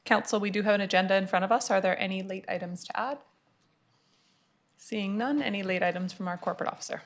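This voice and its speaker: A woman mayor chairing a city council meeting, running through motions in a brisk, formulaic way. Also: mid-range voice. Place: council chamber.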